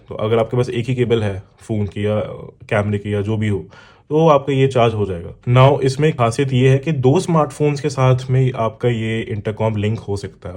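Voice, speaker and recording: A man's voice: medium pitch, darkish tone. Indian man explaining casually to viewers. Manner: explanatory, addresses viewer with examples and check-ins. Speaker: motorcycle vlogger and product reviewer. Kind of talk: casual unboxing talk mixing Hindi and English.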